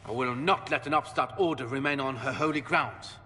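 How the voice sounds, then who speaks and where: A man's voice: medium pitch, fairly quick, pushed and bright, big-room sound, close to an everyday voice. A man playing a haughty, indignant marquis in a video game cutscene, speaking in a formal, pompous way. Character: haughty, indignant nobleman marquis defending his wife's claim.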